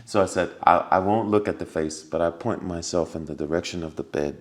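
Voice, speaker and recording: A man, a podcast guest, tells a personal story in casual conversation. He acts out the scenes with sound effects and laughter, and drops to a hushed voice for remembered lines. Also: low voice, fairly quick.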